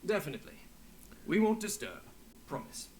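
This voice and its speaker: An actor playing a jovial, generous innkeeper in a video game, with a theatrical, effusive delivery. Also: male voice, sits high, very animated, moderate pace, background noise. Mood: playful, generous.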